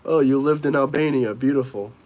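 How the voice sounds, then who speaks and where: A man's voice: mid-range voice, a little fast, darkish tone, poor audio. Blunt young man streaming. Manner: offhand, blunt replies to viewer comments. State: slightly sick. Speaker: livestream host. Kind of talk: casual live-stream chat reading comments.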